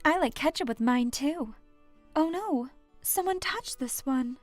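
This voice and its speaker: A woman's voice: sits high, expressive, a little slow, no room sound, faint music behind. A woman playing a cheeky, casual young woman in an audio story. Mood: playful teasing.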